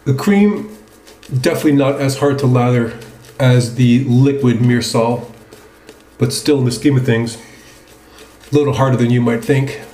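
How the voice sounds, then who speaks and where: A man gives an unhurried, casual, musing product review as he goes about a demonstration. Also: medium pitch, dark tone. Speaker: presenter of a wet shaving video. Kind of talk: casual product review chat while shaving. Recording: roomy, echoing indoor sound.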